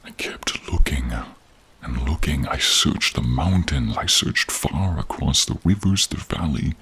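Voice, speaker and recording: A man playing a gentle, protective male dragon in human form, speaking tenderly and haltingly in a deep, gravelly, husky voice for an audio role-play. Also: lively intonation, whispered, heavily acted. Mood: earnest, tender. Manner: tender, halting, hushed, drawn-out phrasing. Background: rain and cave ambience.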